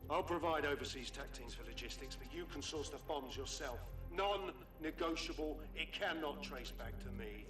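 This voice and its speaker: An actor playing a cold, clipped, authoritative conspirator in a video game cutscene. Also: male voice, a little high, moderate pace, hardly any pauses, recorded from a distance, close to an everyday voice. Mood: cold, businesslike. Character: cold, commanding male conspirator. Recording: echoey room, music behind.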